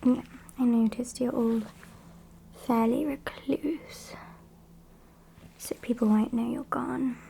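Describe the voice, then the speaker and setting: A woman's voice: fairly high pitch, slight background noise, exaggerated voice. Whispering British woman as a sassy vampire kidnapper. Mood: dry teasing. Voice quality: breathy whisper. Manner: unhurried, chatty, deadpan. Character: sassy female vampire kidnapper, dryly menacing yet polite. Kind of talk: whispered ASMR roleplay.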